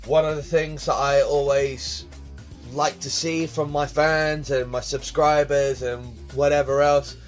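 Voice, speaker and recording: A young man talks to his phone camera in his bedroom, hosting his own vlog. His voice sits a bit high, and he rambles and repeats himself in a casual way. Faint music plays behind him. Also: little pitch movement, a little slow. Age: 20s. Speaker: vlog host. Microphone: phone camera on tripod.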